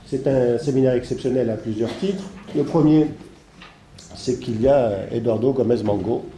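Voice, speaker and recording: A man with a congested head cold gives a halting, digressive introduction as host of a seminar. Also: mid-range voice, dark tone. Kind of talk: spoken introduction of a seminar, semi-improvised. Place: seminar room with an audience. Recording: echoey room with faint background noise.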